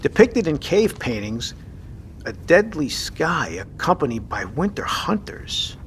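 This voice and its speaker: An actor playing a decisive, gruff navy submarine captain in an audio drama, with commanding, clipped, profane delivery. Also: male voice, fairly high pitch, very animated, unhurried, slight background noise. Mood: brisk, probing. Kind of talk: scripted audio drama acting.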